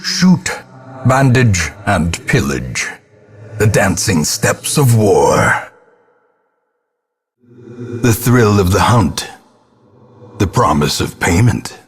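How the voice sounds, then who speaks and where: An actor voicing a grim, guilt-ridden old ancestor who narrates a gothic horror game in solemn, ornate, theatrical phrases with a husky voice. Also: male voice, lowish voice, very animated, full character voice. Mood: solemn, foreboding. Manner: solemn, ornate, deliberate dramatic delivery.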